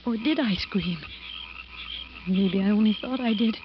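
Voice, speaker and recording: An actress playing a terrified woman walking home alone at night, talking to herself in breathy, halting old-time radio horror style. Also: mid-range voice, highs cut off, close to an everyday voice. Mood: nervous, wary. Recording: noisy, band-limited vintage radio recording.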